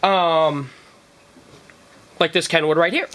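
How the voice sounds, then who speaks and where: A man's voice: fairly high pitch, fairly even intonation, slow pace, a bit bright, a little hiss or hum, low-quality recording. A man talking informally as a vlogger explaining gear, rambling along and often checking in with "right?" or "okay?". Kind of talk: informal explainer talk to camera.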